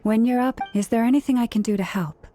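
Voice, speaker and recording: A woman voicing a calm, considerate young traveler in a video game, gentle and earnest. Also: on the high side, fast talker, soft background music, natural voice.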